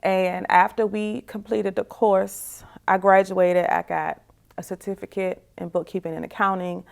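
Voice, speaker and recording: A woman's voice: medium pitch, little pitch movement, a little slow, vocal fry. A woman in her forties giving a reflective, candid personal testimony as an interview guest, in a conversational way, often saying "you know". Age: 40s.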